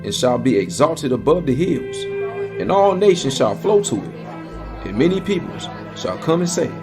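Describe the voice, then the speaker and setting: A man's voice: fairly high pitch, very animated, moderate pace. A man narrating a dramatized scripture reading in a solemn, declamatory manner. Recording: music bed behind the voice. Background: musical score.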